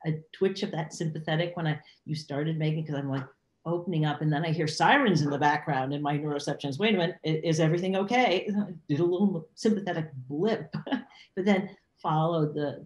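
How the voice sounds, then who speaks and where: An older woman reflects aloud as a podcast co-host. Her low voice is expressive, halting as she searches for words. The video-call sound is roomy and slightly dull. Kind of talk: reflective conversation. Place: home room with plants, video call. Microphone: computer mic on a video call.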